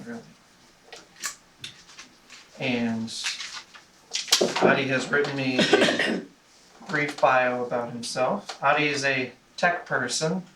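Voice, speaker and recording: A man acts as meeting host, making semi-formal introductions and correcting himself as he goes. His mid-pitched voice sits far from the microphone in a reverberant room with noticeable noise. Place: meeting room, recorded from a distance. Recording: echoey room, distant mic, clear noise.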